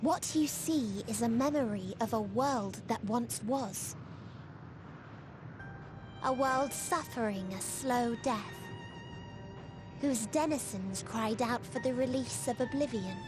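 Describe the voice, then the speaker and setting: A slow, grave otherworldly being. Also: female voice, on the high side, music in the background. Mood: solemn. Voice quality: grave, measured delivery. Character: solemn otherworldly woman foretelling the end. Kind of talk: game cutscene voice acting.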